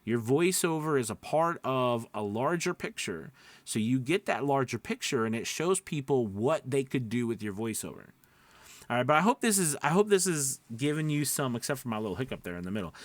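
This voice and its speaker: A man's voice: medium pitch, expressive, fairly quick, no room sound. A man giving casual, encouraging coaching advice to viewers, conversational and reassuring, often checking in with short tag questions. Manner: conversational, checks in with frequent "right?" and "okay?". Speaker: voice-over coach and video host. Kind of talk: casual advice talk to camera.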